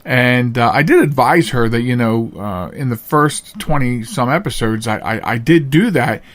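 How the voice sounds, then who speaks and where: A man's voice: mid-range voice, lively intonation, normal speed, a bit bright. A man hosting a podcast with casual, chatty monologues to listeners, talking in a folksy, rambling showman's way. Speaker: podcast host.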